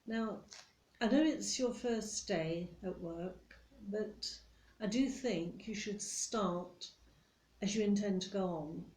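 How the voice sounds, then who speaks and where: A woman plays a nitpicking British office boss in an ASMR roleplay. She is stern and condescending, spelling out the rules slowly and deliberately in a relaxed, mellow voice. The room adds a noticeable echo. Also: medium pitch, slight background noise, close to an everyday voice. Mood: stern, disapproving. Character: strict, nitpicking female office boss telling off a new employee. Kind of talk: ASMR roleplay monologue addressed to the listener. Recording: roomy echo.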